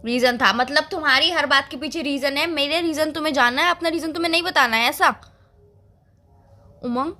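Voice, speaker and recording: A woman's voice: high voice, sharp tone. A young woman playing a hurt, accusing friend who confronts a man over a call, scolding him with pointed questions.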